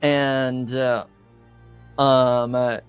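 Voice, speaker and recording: A man serves as game master, improvising the scene at a slow pace. His delivery halts often, packed with filler words, and his pitch sits in a middle range. Faint music plays behind him. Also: level tone, smooth. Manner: halting, hesitant delivery with very frequent filler words. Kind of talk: improvised narration of a tabletop roleplaying game.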